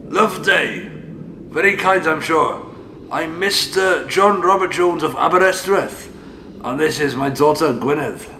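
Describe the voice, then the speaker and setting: A man's voice: fairly high pitch, a little slow, pushed and bright. A man playing a fussy, status-conscious older father in a radio play, polite but grumbling, with formal old-fashioned phrasing. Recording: heavy ambient noise behind the voice.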